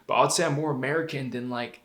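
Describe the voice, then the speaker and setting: A man's voice: mid-range voice, fast. Candid, profane young man in echoey room. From Denver, Colorado. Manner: candid, profane, animated storytelling. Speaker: vlogger. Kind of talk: casual monologue.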